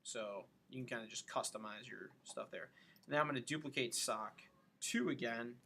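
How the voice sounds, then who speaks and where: A man giving a casual software tutorial, thinking aloud informally as he works through each step. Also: mid-range voice, moderate pace, gravelly.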